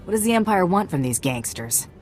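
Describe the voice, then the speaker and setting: An actress playing a tough, mercenary bounty hunter in a video game, blunt and cool. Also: female voice, lowish voice, sing-song, a little fast, a little mellow, faint music behind. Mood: cool, curt.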